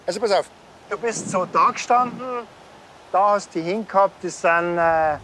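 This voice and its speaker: A man's voice: high-pitched, moderate pace, sharp tone, slight background noise, low-quality recording. An actor playing a dry, bossy small-town policeman with a Bavarian accent, talking in a laconic, colloquial way in a TV crime comedy. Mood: dry bickering.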